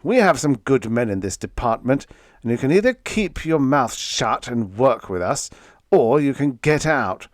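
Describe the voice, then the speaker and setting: Man playing a commanding chief designer, lively intonation. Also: medium pitch, unhurried, dry recording, clean studio sound, voice pushed up. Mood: stern. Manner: forceful, emphatic authority. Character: brilliant, commanding male chief spaceship designer. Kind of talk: audiobook character voice.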